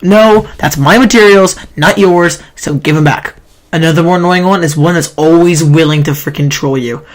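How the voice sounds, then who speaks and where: A man's voice: a little high, moderate pace. A man with a sore throat and a nasal voice, rambling through a gaming countdown as a YouTuber, often correcting himself mid-sentence. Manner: rambling, self-correcting, complaining. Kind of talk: casual countdown commentary.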